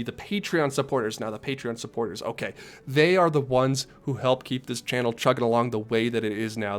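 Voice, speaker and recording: Stuffed-up man rambling through a video essay. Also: medium pitch. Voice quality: nasal, congested. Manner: rambling, joking asides, warm thanks. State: stuffed up with a head cold.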